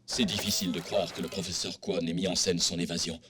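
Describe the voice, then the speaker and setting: Man acting a deep, grave cartoon character. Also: flat delivery, fast, close to an everyday voice. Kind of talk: French cartoon dub. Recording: clear background ambience noise.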